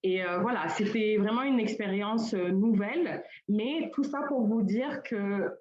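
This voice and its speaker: A woman's voice: medium pitch, a little slow, darkish tone. A young woman from Senegal giving an informal conference talk, hesitant and full of fillers and restarts. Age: young adult. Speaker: colloquium panelist. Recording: roomy, dull lo-fi call audio.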